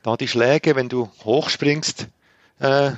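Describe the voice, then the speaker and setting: A man's voice: mid-range voice, fairly even intonation, slow, no room sound, dull audio. A man with a Swiss German accent, a podcast guest talking casually with many fillers and restarts. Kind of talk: casual conversation.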